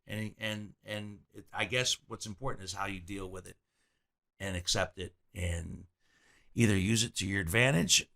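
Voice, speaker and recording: Middle-aged male host, low, gravelly and bright-edged, probing and reflective. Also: normal speed. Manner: probing, reflective, stammers on restarts. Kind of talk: probing interview questions.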